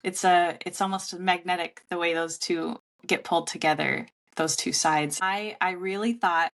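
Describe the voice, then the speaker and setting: Woman podcast guest, candid and self-deprecating, slightly low voice, laughs easily. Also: steady tone, normal speed, creaky, fairly bright tone. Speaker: guest, relationship author. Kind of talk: conversational podcast interview.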